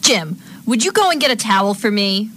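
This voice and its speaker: A woman playing a mean captor in a drama, with a deliberate delivery and falsely sweet menace. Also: mid-range voice, very animated, fairly bright tone. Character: mean woman falsely soothing, then threatening, her captive. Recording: noisy amateur room recording.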